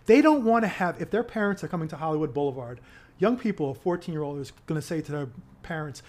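A man's voice: fairly high pitch, lively intonation, fast talker. Emphatic man, fired-up podcast guest. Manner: emphatic, gets louder when making a point. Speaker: activist guest. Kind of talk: casual podcast conversation.

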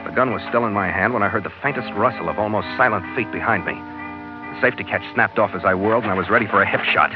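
A man's voice: on the low side, quick pace, music in the background, natural voice. Man as a hardboiled radio adventure captain, muffled. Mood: tense narrating. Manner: hardboiled, clipped, commanding. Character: tough, vengeful sea captain narrating his own adventure. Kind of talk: 1940s-50s radio adventure acting and narration. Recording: muffled old radio recording.

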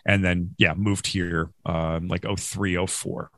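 A man's voice: deep voice, flat delivery, slow pace, fairly bright tone, no room sound. Chatty man with a dry, close sound. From Western New York. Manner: rambling, self-deprecating, laughs often. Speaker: podcast guest. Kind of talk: casual interview chat.

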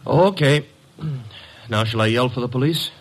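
An actor playing a young, cheeky detective's assistant in an old radio drama, flippant, slangy and streetwise. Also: male voice, medium pitch, normal speed, close to an everyday voice. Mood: wry.

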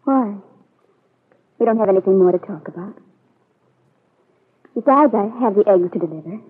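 A woman's voice: mid-range voice, lively intonation, moderate pace, slightly rough. An actress with an American accent playing a proud, hurt young woman, cool and formal, in an old radio soap opera on a muffled vintage recording. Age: young adult. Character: proud, hurt eighteen-year-old country girl, coolly aloof.

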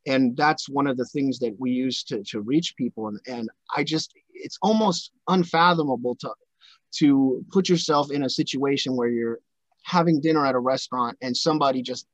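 A man hosting an interview, talking earnestly but haltingly, with many restarts and repeated words. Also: mid-range voice, dry recording. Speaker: podcast host. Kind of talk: conversational interview. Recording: dry, dull lo-fi sound.

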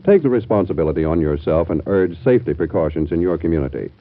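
A man's voice: low-pitched, lively intonation, quick pace. A male radio announcer reading a formal, emphatic public service script in a 1940s style. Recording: tinny, band-limited old radio broadcast.

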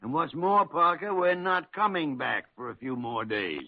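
A muffled vintage radio recording of a crusty older doctor. His voice sits on the high side for a man. He grumbles and blusters in the theatrical style of 1940s radio, stammering when a word escapes him. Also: expressive, moderate pace. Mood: grumbling, emphatic. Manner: gruff, grumbling, stammering when searching for words. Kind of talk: 1940s radio drama acting.

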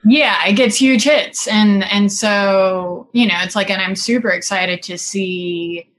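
A woman's voice: mid-range voice, takes it slowly, fairly bright tone. Woman in her 30s from singapore, film director guest, rambly easygoing chat, lots of like and you know. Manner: chatty, full of "like" and "you know" fillers, laughs often. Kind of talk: casual interview chat.

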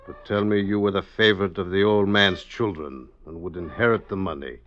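An actor playing a harsh, scheming colonial husband in a vintage radio drama, speaking curtly in stilted archaic phrasing. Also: male voice, deep voice, brisk, a bit bright. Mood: bitter, angry. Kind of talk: 1950s radio drama acting. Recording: dull, noisy old radio recording.

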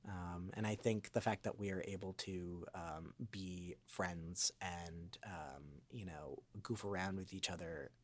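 A man's voice: low-pitched, steady tone, measured pace, hardly any pauses. A man chatting casually as an interview guest, rambling and informal with a goofy streak. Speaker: voice actor and improv comedian, podcast guest.

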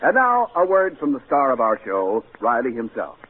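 A man's voice: mid-range voice, huge pitch swings, normal speed. An American man reading scripted announcements and sponsor messages in 1940s radio style. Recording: narrow, hissy 1940s radio broadcast.

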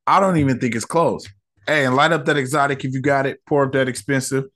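A man hosting a solo podcast, reviewing an episode in a relaxed, chatty way with slang and lots of fillers. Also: medium pitch, fast talker.

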